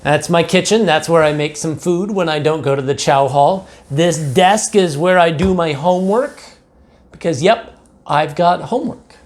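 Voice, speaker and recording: A man's voice: on the high side, normal speed. An American man, a pastor, talking simply and directly to young children and narrating a picture book. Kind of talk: children's storybook read-aloud.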